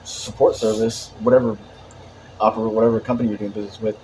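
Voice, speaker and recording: A man's voice: on the low side, flat delivery, fast talker, warm tone, slight background noise, low-quality recording. A man in casual chat, talking in a rambling way with lots of "like" and restarts.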